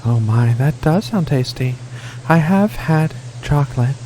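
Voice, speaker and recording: Slow, mellow alien cat giantess acted by a man. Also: fairly high pitch, animated, a little hiss or hum, low-quality recording. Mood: friendly curious. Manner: gentle, hesitant, intimate, teasing. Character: giant feline alien woman, soft-spoken, affectionate, condescending. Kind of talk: soft-spoken ASMR roleplay.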